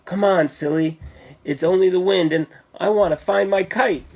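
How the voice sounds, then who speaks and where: A man's voice: high-pitched, normal speed, darkish tone, pitched above the performer's own voice. A man voicing a plucky, stubborn boy of almost six in a children's storybook. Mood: insistent.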